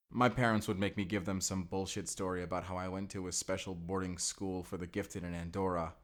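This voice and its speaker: A man's voice: lowish voice, lightly acted. A man playing a young, sheltered and earnest vampire slayer, in a cinematic audio roleplay, speaking in an intimate, conversational way.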